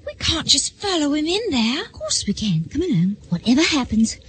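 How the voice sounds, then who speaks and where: A woman's voice: sits high, sing-song, moderate pace, a bit bright, a little hiss or hum. A woman playing a giddy, lovestruck teenage schoolgirl in a radio drama. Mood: excited, hushed.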